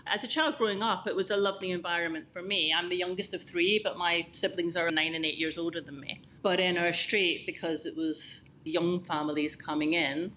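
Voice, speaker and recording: A woman's voice: lowish voice, fairly even intonation, brisk, hardly any pauses, sharp tone, a little hiss or hum. A Scottish woman in her fifties, a podcast guest recalling her childhood in a reflective, detailed, conversational way over a remote call. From Scotland. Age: 50s. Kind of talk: conversational interview storytelling.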